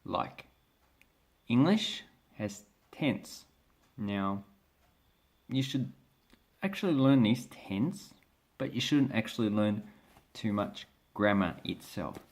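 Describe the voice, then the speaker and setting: An Australian man giving a casual spoken lesson to camera, talking in a relaxed, hesitant way with many fillers and restarts as he shows pages from a book. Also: on the low side, slow, pauses often. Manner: explains by showing pages from a book. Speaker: language vlogger.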